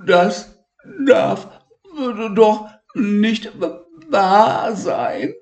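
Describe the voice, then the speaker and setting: A man's voice: high-pitched, extremely slow, vocal fry, darkish tone, muffled recording, voice pushed up, full character voice. A man voicing a weepy, fretful young patchwork elephant who whimpers and stammers, reading aloud in a German children's story. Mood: distraught. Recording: echoey room.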